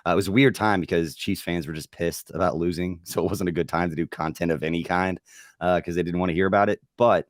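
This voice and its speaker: A man with a very low voice who is losing it, so it sounds hoarse and worn. He talks quickly and chattily, with lots of fillers. The sound is close and dry, with no room around it. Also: steady tone, dry recording. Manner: rambling, chatty with filler words. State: losing his voice. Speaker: host. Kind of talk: casual sports podcast chat.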